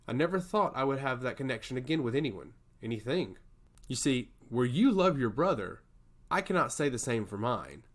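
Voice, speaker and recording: Guy reading as a passionate god of chaos who loves humans, fantasy storybook, really animated pitch, emphatic speeches. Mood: earnest, fond. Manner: ranting, earnest, emphatic monologue. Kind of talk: fantasy storybook character dialogue.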